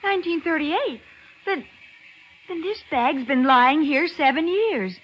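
An American actress playing an eager, anxious detective's assistant in a 1940s radio drama, excitable and inquisitive. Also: female voice, high-pitched, unhurried. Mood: concerned, curious. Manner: excitable, breathless exclamations, inquisitive. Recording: muffled 1940s radio recording.

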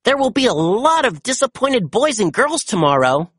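An eager young puppy hero from a children's storybook as a reader puts it on. The voice jumps high and swoops with excitement, exclaiming in short bursts. It has a slightly husky edge and is recorded close and dry. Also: normal speed, dry recording. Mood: earnest, eager. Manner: animated, exclaiming. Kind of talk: children's storybook character reading.

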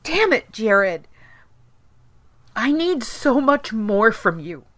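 Female for audio drama: hurt, frustrated wife, reproachful, higher-pitched, dry close sound. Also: very animated, slow, fairly bright tone, no room sound, exaggerated voice. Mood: exasperated. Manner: reproachful, emphatic. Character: hurt, frustrated, uncompromising wife confronting her husband.

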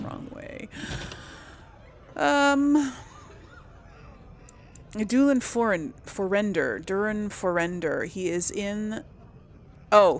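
Woman doing a casual let's play, slow chatty rambling, lots of vocal fry. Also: medium pitch, slight background noise. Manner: thinks aloud, hesitant, chatty. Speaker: gaming video host.

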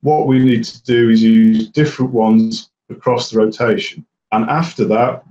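A man, a herbicide specialist answering webinar questions in a measured, explanatory way with fillers and restarts. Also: mid-range voice, darkish tone. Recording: roomy, distant and dull audio.

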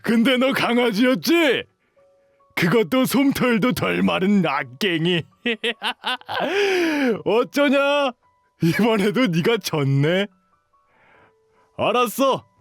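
A man's voice: on the high side, fairly bright tone, dry recording. A young man acting a cocky, sneering bully who taunts in an audio drama. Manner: taunting, sneering, sing-song baby talk. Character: mocking, cocky young male shifter bully.

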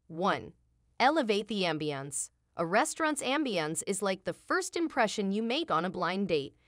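A woman's voice: mid-range voice, sing-song, normal speed, a bit bright, no room sound, studio quality. A woman giving a scripted promotional voice-over with a polished, upbeat delivery. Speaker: narrator.

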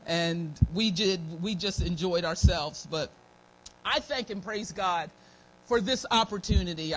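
American woman, middle-aged, church elder, informal testimony-style sermon, slightly dry scratchy throat, faint background noise. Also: lowish voice. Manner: folksy, devout, repeating phrases of thanks. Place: church meeting room, at a pulpit. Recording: noisy live church stream recording.

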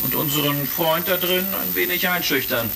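A gravelly heroic space commander. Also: male voice, a little high. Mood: calm, sly. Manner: brisk, assured commands. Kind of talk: retro science fiction radio play acting. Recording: music behind, vintage crackle.

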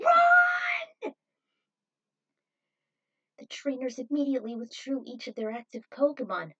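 A woman's voice: a little high, a little mellow, highs cut off. A woman reading a scripted adventure story aloud as narrator, in a dramatic manner.

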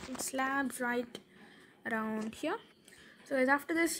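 Woman, high bright voice, casual tutorial narrator, chatty with fillers, faint hiss behind. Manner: chatty, fillers, quick laughs, thinks aloud while building. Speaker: Minecraft building tutorial creator.

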